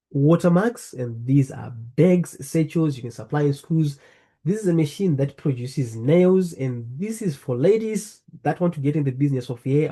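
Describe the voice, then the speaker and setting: Man from Zimbabwe, earnest presenter talking through slides, husky and mellow tone, leans on fillers. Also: medium pitch. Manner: earnest, repeats phrases, frequent fillers. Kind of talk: presenting a talk over slides. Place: lives in the UK.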